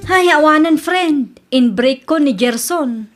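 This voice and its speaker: A woman acting as a high-voiced indignant young Ilocano girlfriend. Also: expressive, takes it slowly, loud music behind the voice. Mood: disappointed. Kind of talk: radio drama acting.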